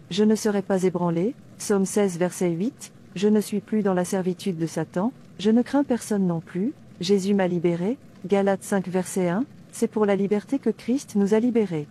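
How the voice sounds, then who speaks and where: Mellow devout cartoon heroine played by a woman, noisy. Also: medium pitch, natural voice. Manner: declamatory, reciting verses with references. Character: devout, fearless captive woman preaching scripture. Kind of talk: scripture recitation in animated drama.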